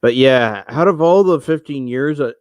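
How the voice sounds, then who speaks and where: A man's voice: medium pitch, normal speed, dry recording. Frustrated rambling man in his thirties. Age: 30s. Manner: rambling, frustrated, many restarts. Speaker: livestreamer. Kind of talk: casual solo livestream rant. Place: small room at home, indoors. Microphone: headset mic.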